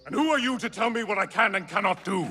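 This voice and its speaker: An actor playing a proud, furious warlord in a video game, indignant and threatening. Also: male voice, high voice, animated, fast, bright, forceful tone, soft background music.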